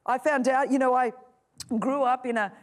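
A middle-aged woman preaches her testimony. She is passionate and builds from soft, low passages to loud, soaring ones. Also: steady tone, moderate pace. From Australia. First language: Greek. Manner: impassioned, swings from loud to soft. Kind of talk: sermon testimony.

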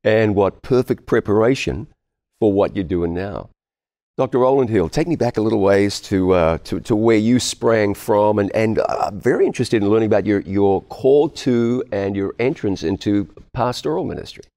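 A man hosting a ministry interview, drawing out his guests with smooth, encouraging questions. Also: fairly low pitch, moderate pace, warm tone. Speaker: interview host. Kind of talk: broadcast interview.

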